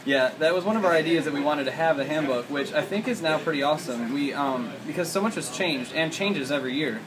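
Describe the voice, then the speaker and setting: A man with a fairly high voice talks quickly, taking part in a read-aloud group discussion. There is clear steady room noise behind him. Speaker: college writing instructor. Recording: noisy room with steady hum.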